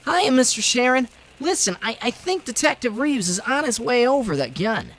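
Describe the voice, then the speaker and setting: Eager, very high-voiced rookie cop. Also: male voice, very animated, normal speed, a bit bright, heavily acted. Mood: worried. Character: eager young rookie police detective, earnest. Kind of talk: old time radio drama acting.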